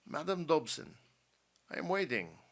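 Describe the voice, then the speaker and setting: A man voicing an imperious, menacing general who interrogates in formal, commanding speech and is quick to anger, in an audiobook story. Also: fairly high pitch, sing-song, a bit bright, voice pushed up. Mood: menacing, coaxing.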